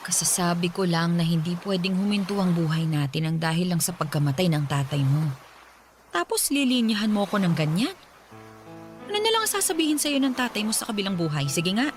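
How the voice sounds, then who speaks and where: A woman's voice: fairly low pitch, sing-song, brisk, soft background music. Stern nagging widowed mother. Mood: scolding. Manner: scolding, lecturing. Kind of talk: radio drama acting.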